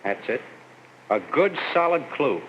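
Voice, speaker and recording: An actor playing a sardonic, jealous husband, mocking with sarcastic endearments, in a 1940s-style radio mystery. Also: male voice, medium pitch, very animated, measured pace. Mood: intrigued. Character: sardonic, jealous husband, a fired policeman with appetite. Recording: muffled, hissy old radio recording.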